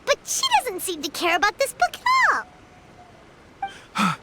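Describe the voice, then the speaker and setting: A woman voicing a small, excitable, childlike fairy companion with a squeaky voice in an anime game dub. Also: unhurried, very noisy, heavily acted. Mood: concerned, insistent. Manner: exclamatory, refers to self by name. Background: music.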